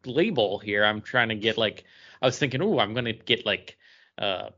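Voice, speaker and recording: A man co-hosting a casual podcast, thinking aloud in a halting, conversational way. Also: on the low side, expressive, bright, forceful tone. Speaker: podcast co-host. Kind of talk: casual chat. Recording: dull lo-fi recording.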